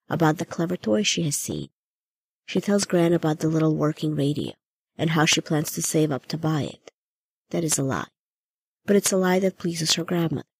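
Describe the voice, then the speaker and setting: A woman's voice: deep voice, darkish tone, breathy edge, no echo. A woman reading a short story as a scripted narration.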